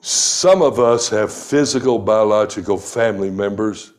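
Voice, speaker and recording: Man in his sixties, lowish voice, unhurried pastor delivering a sermon. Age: 60s. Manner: slow, deliberate preaching with emphatic scripture reading.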